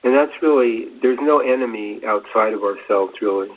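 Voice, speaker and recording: A man co-presenting an unscripted spiritual talk, halting and searching for his words, on a dull lo-fi recording. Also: fairly low pitch. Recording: dull lo-fi radio sound, faint noise.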